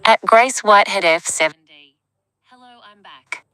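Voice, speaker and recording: A woman's synthetic voice reading out live chat messages in a flat, even way. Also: medium pitch, normal speed. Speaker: text-to-speech chat reader.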